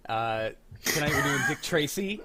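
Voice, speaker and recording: A man's voice: fairly high pitch, normal speed, a loud crowd. A young man hosting a live online script reading over a video call, rambling and correcting himself as he goes. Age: young adult. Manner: relaxed, rambling, self-correcting. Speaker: host and sound designer of a live script-reading stream. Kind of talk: casual chat on a livestream.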